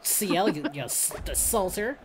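A woman chatting casually with friends while playing a game, often yelling and laughing. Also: on the low side, animated, normal speed, very noisy. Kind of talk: casual gaming chat. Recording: game music behind.

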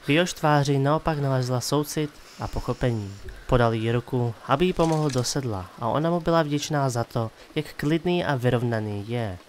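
A man's voice: mid-range voice, slight background noise. A man giving scripted dramatic narration of a fantasy story as the narrator of an audio drama. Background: music.

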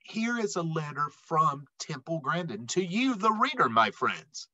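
A middle-aged man reading a children's picture book aloud expressively, with emphatic, dramatic phrasing and drawn-out words. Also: fairly high pitch, sing-song, sharp tone, dry recording, highs cut off. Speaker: read-aloud storyteller.

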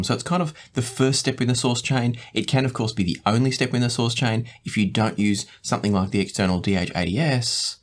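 A man, hosting a product review in a measured, explanatory way. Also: fairly low pitch. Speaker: host, audio gear reviewer. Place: small indoor home studio room.

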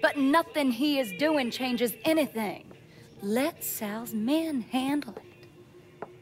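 An actress playing a composed, calculating high-society woman in a video game, speaking in a measured, admonishing way. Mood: cool, admonishing. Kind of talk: dramatic video game acting.

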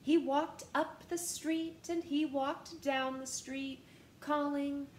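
A woman in her thirties reading a children's picture book aloud in a living room, with an animated, sing-song manner that speaks straight to young listeners. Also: high-pitched, slow, reverberant, a little hiss or hum. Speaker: storytime reader. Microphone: camera in front at close range.

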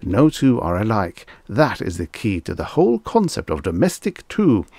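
A man's voice: fairly low pitch, wildly swooping pitch, moderate pace, dark tone, dry recording, exaggerated voice. A man voicing a fussy, deferential salesman in an audiobook story, anxious to please and formally wordy. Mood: frightened, pleading. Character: eager, anxious, fussy salesman clerk, deferential and long-winded.

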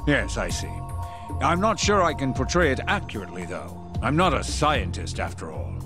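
Haughty middle-aged official. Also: male voice, mid-range voice, very animated, moderate pace. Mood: guarded, dismissive. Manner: formal, aloof. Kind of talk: video game cutscene acting. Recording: music behind the voice.

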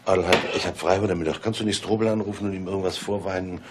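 An actor in a radio drama playing a pushy, flirtatious ex-husband who teases and wheedles. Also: male voice, deep voice, steady tone, fast, close to an everyday voice. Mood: teasing, flirtatious. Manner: teasing, wheedling. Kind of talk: German radio drama acting. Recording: echoey room, clear background noise.

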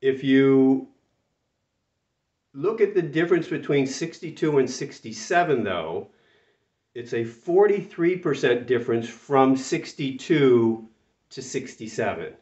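A man's voice: mid-range voice, a little mellow. Older guy kinda nasal from a cold, ranting at the camera in a small echoey room, sounds a bit far off and muffled. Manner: exasperated, emphatic, repeats words for stress. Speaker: retirement channel host. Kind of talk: talking-to-camera commentary. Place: small room with a whiteboard, indoors. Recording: roomy, distant, slightly dull sound.

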